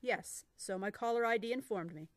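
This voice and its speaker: A woman playing a humorless emergency dispatcher in an audio drama, deadpan, clipped and strict. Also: fairly high pitch, normal speed, no echo, clean studio sound, lightly acted. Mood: stern deadpan.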